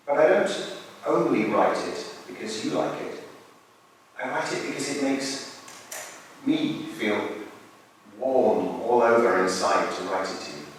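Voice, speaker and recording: A man playing a tender, grieving young widower, reading a letter to his dead wife haltingly, with long pauses. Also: medium pitch. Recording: very echoey hall, distant mic, faint noise.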